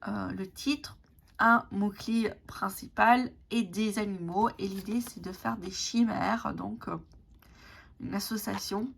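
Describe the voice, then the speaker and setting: A woman whose first language is Italian, casually showing tarot and oracle decks, with many pauses and restarts. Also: medium pitch, fairly even intonation, fairly bright tone. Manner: hesitant, many fillers and restarts. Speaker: tarot and oracle deck reviewer. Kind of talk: casual show-and-tell vlog. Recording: clear background noise.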